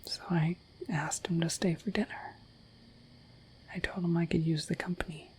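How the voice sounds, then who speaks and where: A woman voicing a shy, friendly dragon in a breathy whispered ASMR roleplay, rambling gently and hesitantly to the listener. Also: fairly low pitch, fairly even intonation, moderate pace, slight background noise, lightly acted. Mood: musing, gentle. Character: shy, friendly, dorky dragon in humanoid form.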